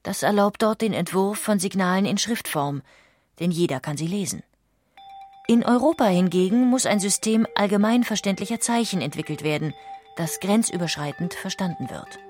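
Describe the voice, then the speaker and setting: A woman's voice: mid-range voice, animated, moderate pace, darkish tone, soft background music. A woman reading scripted narration in the manner of a documentary audiobook.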